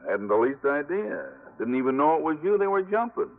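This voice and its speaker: A tough but friendly police lieutenant in a vintage radio play. He is wisecracking and blustery, with an animated, swinging pitch. The recording is thin and tinny. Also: fairly quick. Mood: teasing. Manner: teasing, blustery. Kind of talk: 1950s radio drama acting. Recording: tinny band-limited old radio recording.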